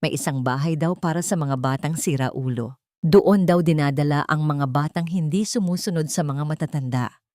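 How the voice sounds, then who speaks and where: A woman's voice: low voice, moderate pace, darkish tone, no echo, clean studio sound, voice pushed down, natural voice. A woman voicing a cruel, sweet-faced rich woman who taunts and threatens children in an audio drama. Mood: mock-sweet menace. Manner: menacing, taunting.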